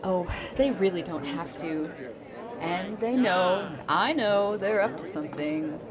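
A woman's voice: lowish voice, animated, unhurried. A woman playing a friendly, chatty event guest in a conversational audio drama. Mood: friendly, coy. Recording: very loud crowd chatter.

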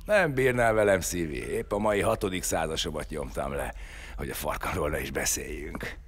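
An actor playing a cocky, lewd killer in an audio drama, smug and mocking. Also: male voice, very deep voice, fairly bright tone, slightly rough, exaggerated voice. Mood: lewd, boastful. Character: cocky, lewd, taunting male prisoner and killer.

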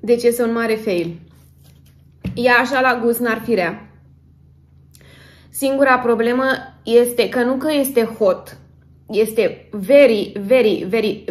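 The slightly high voice of a thirties woman vlogger at her kitchen table, talking to her followers with homely exclamations and a touch of drama. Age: 30s. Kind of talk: casual chat to camera. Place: home kitchen, indoors.

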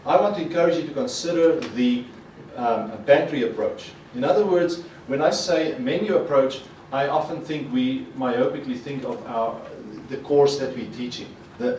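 Middle-aged man, Afrikaans speaker, animated conference talk, roomy hall, voice a little distant. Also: medium pitch, slight background noise. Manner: animated, gestures a lot, acts out quoted reactions. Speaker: conference presenter. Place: conference hall with projector screen. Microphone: podium mic. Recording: echoey hall, mic a bit far.

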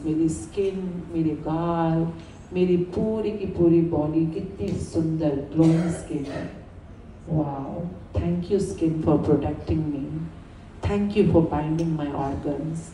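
A woman with an Indian accent leading a guided gratitude meditation, speaking soothing affirmations in deliberate, repeated phrases with pauses. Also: deep voice, warm tone. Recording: roomy echo, distant mic, faint noise.

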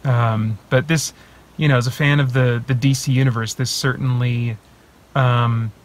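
A man in his thirties talking casually to a phone camera from inside his parked car, thinking aloud with many pauses and restarts. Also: medium pitch, steady tone. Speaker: movie reviewer and channel host. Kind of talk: casual spoiler talk to camera. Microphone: phone camera at arm's length.

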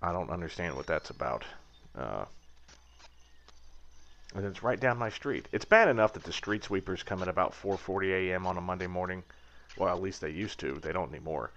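A man's voice: low voice, expressive, brisk, gravelly, a bit bright. A man in his thirties giving a rambling, wry voice-over about his workshop build, slipping into mock rants. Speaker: aircraft builder vlogger. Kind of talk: casual voice-over commentary. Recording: slightly dull lo-fi sound.

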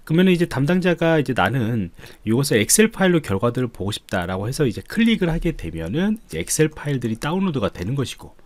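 Guy doing a coding tutorial, polite n step by step, pitch moves around a lot, dry close recording. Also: very animated, quick pace, few pauses, no echo. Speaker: IT instructor. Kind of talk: screencast coding tutorial, explanatory lecture. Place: indoors, voice-over on screen recording.

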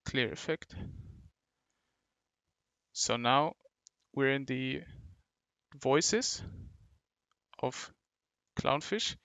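A man presents a software walkthrough with a gravelly edge to his voice. He gives instructions in short, halting phrases, recorded close and dry as a voice-over. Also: mid-range voice, no echo. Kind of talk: step-by-step tutorial narration. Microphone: close mic, screen-recording voice-over.

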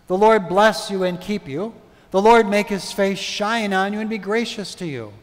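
A middle-aged man leading prayers and a blessing as pastor, reading in a measured, solemn way in a large church. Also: sits high. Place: large brick church sanctuary. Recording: long church reverb.

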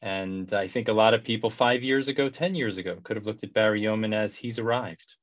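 A man's voice: on the low side, dry recording. Effusive male host on a dull video call. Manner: warm, effusive, halting with repeated words. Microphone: headset.

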